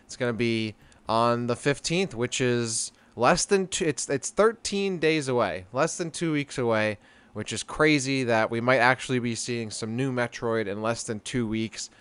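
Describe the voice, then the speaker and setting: A man's voice: medium pitch, a bit bright, clean tone, no room sound. A man in his late twenties co-hosting a gaming podcast, chatty and upbeat. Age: 20s. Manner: chatty and upbeat, quick to laugh. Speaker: podcast co-host. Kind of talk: casual gaming podcast chat.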